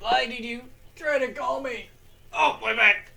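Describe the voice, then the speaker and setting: This young man narrates lore from a script in a relaxed, slow-paced way. He gets excitable and swears freely. He often breaks into loud yelling when a story grips him. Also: very high-pitched, gravelly, slight background noise. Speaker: lore video host.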